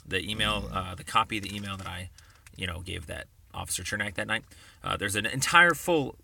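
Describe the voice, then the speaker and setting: A man speaks in a tense, bright voice with a noticeable creak. He lectures insistently, as if filing a formal complaint by voicemail. His delivery is emphatic, yet it stumbles and restarts as he rambles from point to point. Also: fairly low pitch.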